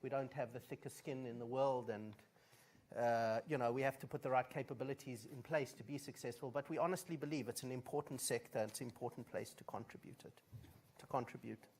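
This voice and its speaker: A South African man speaking from a conference stage in a direct, plain-spoken way. His pitch sits in an ordinary middle range, and he often stops on an um or uh before going on. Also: steady tone, brisk, darkish tone. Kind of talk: opening remarks at a conference.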